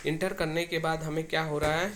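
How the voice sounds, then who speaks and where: A man's voice: a little high, level tone, fairly quick. A man explains a technical setup step by step, often repeating his phrases as he demonstrates. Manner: repetitive, restarts phrases as he demonstrates. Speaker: technician giving a tutorial. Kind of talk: hands-on tech tutorial, explaining step by step. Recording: noisy amateur recording with clicks.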